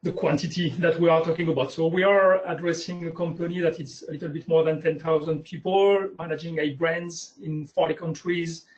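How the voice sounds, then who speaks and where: A man's voice: on the high side, fairly even intonation, a little fast, hardly any pauses. Hesitant French-accented man presenting. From France. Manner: hesitant, many uh fillers. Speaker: webinar guest speaker. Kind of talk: presenting slides in a webinar. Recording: muffled lo-fi webinar audio.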